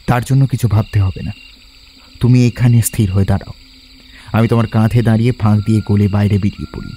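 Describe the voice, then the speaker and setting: An actor playing a resolute, quick-witted schoolboy adventurer in an audio drama, speaking in a decisive, earnest way. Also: male voice, on the low side, fairly even intonation, mellow, a little hiss or hum, natural voice. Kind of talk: Bengali audio drama acting.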